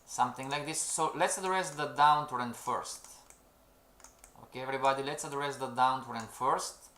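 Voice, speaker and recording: A man leads a live-streamed trading lesson, often calling out to "everybody", checking in with "okay?" and reading out the chat. His voice sits in an ordinary middle pitch. The sound is roomy and echoey, with keyboard typing in the background. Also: normal speed, sharp tone. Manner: keeps saying "everybody" and "okay?", reads out the chat. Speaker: trading instructor. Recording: roomy echo.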